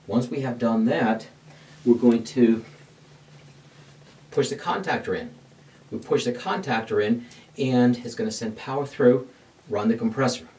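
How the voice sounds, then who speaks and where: A middle-aged man teaching an HVAC lesson at a glass board in a dark studio, explaining each step and answering his own questions. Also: mid-range voice, measured pace, long pauses, far from the mic, rough recording. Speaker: HVAC instructor. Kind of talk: whiteboard technical lesson.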